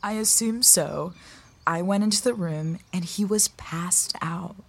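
Low-voiced, earnest young college rower. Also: female voice, unhurried, vocal fry, slightly rough, heavily acted. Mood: worried, frank. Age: young adult. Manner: frank, earnest. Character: concerned, earnest college rowing mentor, young woman. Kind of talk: naturalistic audio drama acting.

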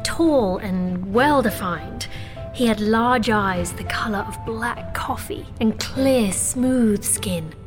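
A woman's voice: mid-range voice, takes it slowly, lightly acted. A woman playing a young, sheltered sorceress princess who earnestly narrates her own story in an audio drama. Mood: narrating, entranced. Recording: music behind.

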